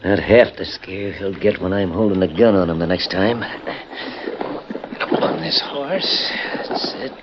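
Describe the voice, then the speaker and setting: An actor playing a cruel Western outlaw in an old radio drama, gloating in rustic frontier slang. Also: male voice, low-pitched, lively intonation, slow pace, heavily acted. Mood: scheming, gruff. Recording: muffled, faintly noisy old radio recording.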